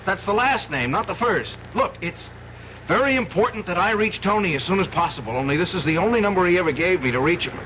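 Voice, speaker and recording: A desperate, agitated man in a radio play, stammering and urgent. His high voice swings widely in pitch, on a dull old broadcast. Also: lively intonation, fast, bright, forceful tone, a little hiss or hum. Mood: frantic, pleading. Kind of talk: 1970s radio drama acting.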